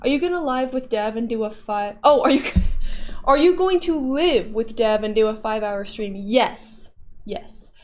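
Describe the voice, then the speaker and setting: Casting: woman streamer, fairly high voice, chatty and playful, casual talk with her audience. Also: lively intonation, moderate pace. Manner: chatty, playful, often laughing. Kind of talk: casual livestream chat reading viewer messages.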